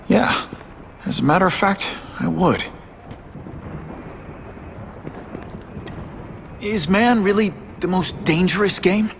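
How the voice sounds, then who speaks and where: A man's voice: high voice, moderate pace, creaky, fairly bright tone, a little hiss or hum. An actor playing an earnest, wary young man interviewing as a reporter in a video game, with a casual, slightly hesitant manner. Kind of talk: video game dramatic acting.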